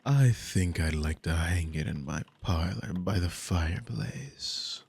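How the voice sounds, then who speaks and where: A man's voice: low voice, animated, slow pace, creaky, a little mellow, breathy edge, heavily acted. A man playing a dominant, possessive demon in an ASMR roleplay, mockingly amused and condescending, with teasing, formal, theatrical speech. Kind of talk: ASMR audio roleplay spoken to the listener.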